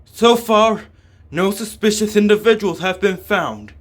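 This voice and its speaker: A man's voice: high-pitched, animated, measured pace, voice pushed up. A man voicing an alert fantasy game warrior, urgent and commanding. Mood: brisk, alert.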